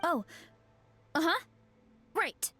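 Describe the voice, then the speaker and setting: High-voiced, earnest young heroine. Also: female voice, very animated, very slow, over music, full character voice. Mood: pensive. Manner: gentle, reasoning, earnest. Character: sweet, thoughtful, easygoing young female adventurer. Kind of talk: video game character acting.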